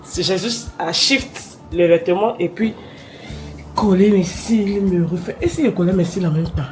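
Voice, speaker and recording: A woman's voice: on the low side, measured pace, faint music behind. A young woman, talking casually to her phone camera while she has a cold, slipping English words into her chat. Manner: chatty, animated, mixing in English slang. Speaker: vlogger.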